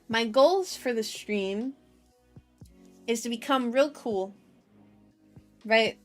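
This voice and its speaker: High-voiced playful woman livestreamer over music. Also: slow. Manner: playful, childlike phrasing, repeats herself. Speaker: VTuber livestream host. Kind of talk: casual livestream chat. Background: background music.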